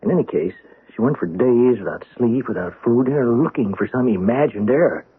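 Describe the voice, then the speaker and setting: Male doctor for radio drama: heavily husky, mid-pitched, defensive and curt, narrow vintage broadcast sound. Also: lively intonation, a little fast. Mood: grave, indignant. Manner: hesitant, then curt and indignant. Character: brusque, defensive male surgeon, protective medical director. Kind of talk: old-time radio drama acting.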